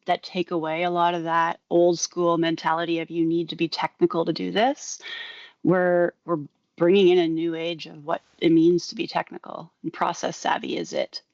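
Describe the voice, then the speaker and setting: Flat, low-voiced woman moderator. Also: no echo. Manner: fluent, filler-heavy with "like". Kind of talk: casual video call chat. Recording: muffled call audio.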